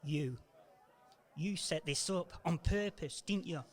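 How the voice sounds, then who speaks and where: A man playing a selfish, bitter older businessman with a gruff, sneering manner in an amateur radio play. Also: on the high side, takes it slowly. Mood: irritated.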